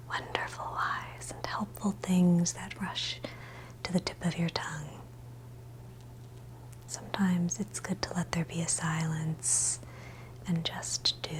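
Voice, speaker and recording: A woman whispering a scripted ASMR guided meditation in a breathy, gentle, soothing way, leaving long pauses between short phrases. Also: fairly low pitch, slight background noise, rough recording.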